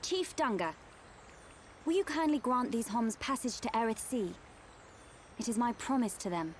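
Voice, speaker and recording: A noble young guide in a game cutscene. Her voice is on the high side, with measured, gracious, highly formal phrasing and an earnest poise. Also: big pitch swings, a little hiss or hum. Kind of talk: video game cutscene acting.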